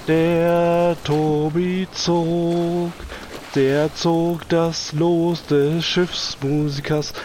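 A man's voice: fairly high pitch, a little mellow, slight background noise. A man playing an eager pirate ship's musician, delivering verses in a sing-song manner.

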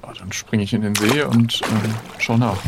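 A man's voice: fairly low pitch, steady tone, moderate pace, heavy background noise. A man role-playing casually as a player in an improvised adventure, speaking in a hesitant, inquisitive way.

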